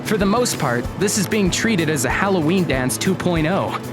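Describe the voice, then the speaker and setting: Level-headed teen student voiced by a woman, concerned, animated pitch, audio drama, music underneath. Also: normal speed, close to an everyday voice. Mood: explaining.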